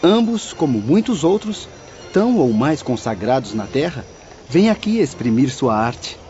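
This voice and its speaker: A man's voice: medium pitch, big pitch swings, measured pace. A Brazilian man playing a learned, courteous spirit companion with formal speech in an audiobook drama. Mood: affirming. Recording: slightly dull audio over music.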